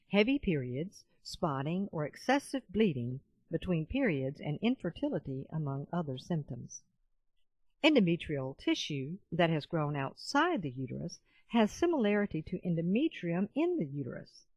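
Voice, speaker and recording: A woman's voice: sits low, darkish tone, breathy edge, dry recording, lo-fi sound. A woman voice-over artist reading scripted narration as an audiobook and demo narrator.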